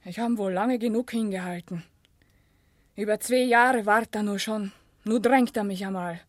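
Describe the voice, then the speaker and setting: A woman's voice: mid-range voice, normal speed, no echo, clean studio sound, close to an everyday voice. An actress playing an earnest, plain-spoken young village working woman with a Silesian accent in a German radio play. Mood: earnest, resigned.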